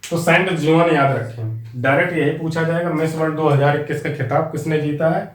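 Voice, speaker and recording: A man's voice: on the high side, a little fast, roomy sound. A man with an Indian accent teaching an exam-prep class, repeating key facts and speaking straight to his students. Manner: repetitive, drilling facts, addresses students directly.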